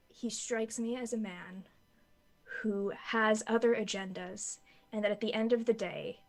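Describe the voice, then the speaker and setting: A troubled woman soldier speaks haltingly and restarts often as she searches for words, as a woman plays her. Faint music sits under her voice. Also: mid-range voice, gravelly. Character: doubting woman soldier, earnest and unsure. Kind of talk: in-character tabletop roleplay.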